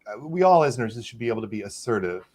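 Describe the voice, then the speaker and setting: A man's voice: lowish voice, sing-song, fast, a little mellow. A male nurse on a panel, speaking earnestly about being assertive at work. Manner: earnest, fillers. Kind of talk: casual panel chat.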